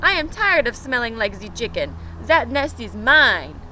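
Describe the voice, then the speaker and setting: A woman's voice: on the high side, sing-song, a little slow, sharp tone, slight background noise, heavily acted, low-quality recording. A woman voicing a fussy, possessive female duck in a storybook, exclamatory and complaining, recorded outdoors. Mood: indignant.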